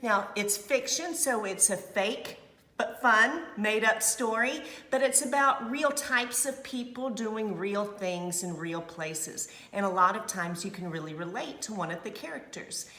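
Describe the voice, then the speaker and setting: Slow, bright-voiced woman reading to children. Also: mid-range voice. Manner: expressive, simple child-directed explanations and questions. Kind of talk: read-aloud storytime with explanatory asides.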